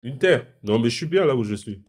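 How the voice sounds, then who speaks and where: Male debate guest from the Republic of the Congo, mid pitch, calm, hesitant, argues back. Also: brisk. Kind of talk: live online debate.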